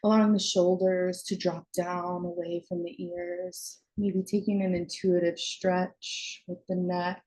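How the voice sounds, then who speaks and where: A woman guides a meditation in a lowish, very mellow voice. Her delivery is very slow and soothing, leaving long pauses between short phrases. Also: little pitch movement, a little hiss or hum, rough recording.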